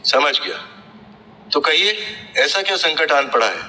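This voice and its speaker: A man's voice: fairly high pitch, sing-song, a little slow, bright, forceful tone, a little hiss or hum, full character voice, poor audio. A man playing an anxious, loyal royal treasurer in a historical audio drama, hesitant and flustered. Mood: puzzled, uneasy.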